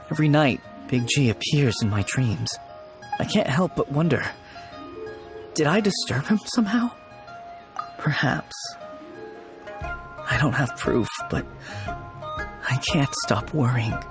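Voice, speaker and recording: A man playing an anxious, gentle young man in an anime game, hesitant and subdued. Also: fairly high pitch. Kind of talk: English anime game voice acting.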